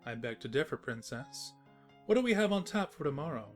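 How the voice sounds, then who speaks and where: A man playing a teasing, sentimental, gentlemanly storybook narrator in a fan fiction audio drama. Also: a little high, sing-song, brisk, soft background music. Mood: warmly wry.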